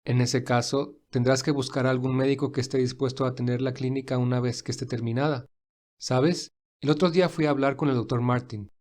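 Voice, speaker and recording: Kind rich busy guy played by a man in an audio story, reassuring, normal pitch. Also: steady tone, a little fast, darkish tone, close to an everyday voice. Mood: calm, friendly. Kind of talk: acted audio fan fiction dialogue.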